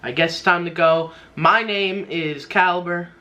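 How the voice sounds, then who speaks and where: A man's voice: high voice, steady tone, unhurried, bright, forceful tone. A young man chatting informally to viewers as a YouTuber giving a tutorial, rambling and repeating himself a little. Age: young adult. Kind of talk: casual tutorial chat to viewers.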